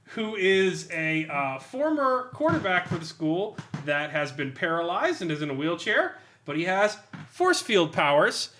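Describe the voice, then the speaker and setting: A man reviewing a role-playing book casually among friends in an animated, amused, sweary and sardonic way. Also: high voice, a little slow, slight background noise. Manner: animated, amused, often laughing while talking; profane, sardonic.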